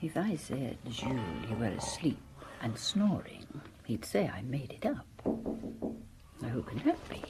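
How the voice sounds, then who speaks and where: An actress playing a detective's middle-aged wife in an audio drama, patient, inquisitive and gently teasing in a wry way. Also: female voice, extremely low pitch, big pitch swings, unhurried, music in the background, heavily acted.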